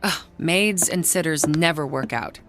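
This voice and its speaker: An actress playing an overbearing, disapproving aunt with an insistent, haughty manner in a radio drama. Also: female voice, low voice, unhurried. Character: overbearing, disapproving aunt fussing over bereaved children. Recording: studio radio play with music underneath.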